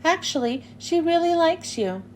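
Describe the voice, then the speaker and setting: Woman as a sensible, mellow little girl. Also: extremely high pitch, expressive, moderate pace, a little hiss or hum, voice pushed up. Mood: consoling. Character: sensible, gentle young girl, quietly frank peacemaker. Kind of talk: children's storybook read-aloud.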